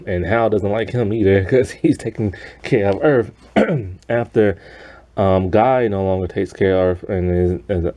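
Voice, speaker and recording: Low, mellow-voiced man reviewing casually. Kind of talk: casual spoken review of an animated series.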